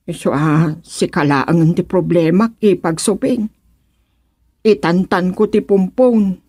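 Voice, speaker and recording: Radio serye role: woman as pleading, exasperated widow, Ilocano accent, lowish register, slow delivery. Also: mellow, husky, dry recording, studio quality, exaggerated voice. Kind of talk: radio drama comedy acting in Ilocano.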